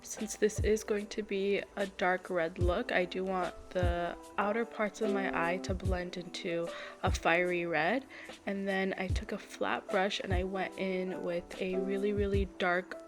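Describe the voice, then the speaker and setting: A congested, nasal-sounding young woman talks through her makeup routine in a chatty, step-by-step way. Her voice sits at an ordinary middle pitch. Clear background music plays under her voice-over. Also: flat delivery, a little slow. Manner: chatty, apologetic, step-by-step explaining. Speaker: beauty vlogger.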